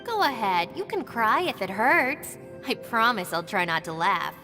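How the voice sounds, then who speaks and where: A woman's voice: on the high side, very animated, unhurried, in a large hall, over music. A woman playing a dry, sensible young deputy in a video game, wry and matter-of-fact as she teases her boss. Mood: teasing.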